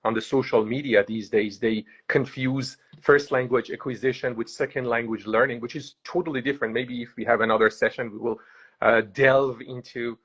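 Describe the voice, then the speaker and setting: A husky-voiced man hosts an interview, working through long questions with frequent "uh"s and restarts. His pitch sits in an ordinary middle range. The recording sounds dull and lo-fi. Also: steady tone, normal speed, a bit bright. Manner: hesitant, many filler sounds and restarts. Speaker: host.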